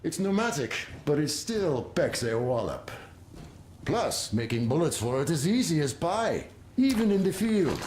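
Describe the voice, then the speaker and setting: A man's voice: fairly high pitch, very animated, a little slow, a little mellow, echoey room. An actor playing a proud, friendly male weapons tinkerer, explaining with hearty enthusiasm in a video game.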